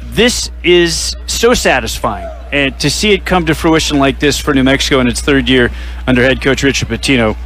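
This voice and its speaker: A man hosting a radio postgame broadcast, running through final stats and sponsor reads in a measured way. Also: medium pitch, fairly bright tone, soft background music, low-quality recording. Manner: stat-heavy, measured delivery with sponsor reads. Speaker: radio play-by-play announcer.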